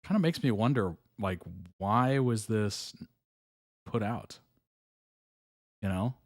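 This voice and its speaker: Relaxed low-voiced male stream host. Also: slow pace, no room sound, clean studio sound. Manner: relaxed, chatty, frequent ums and soft chuckles. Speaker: host reacting to videos live. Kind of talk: casual live reaction commentary, reading chat aloud.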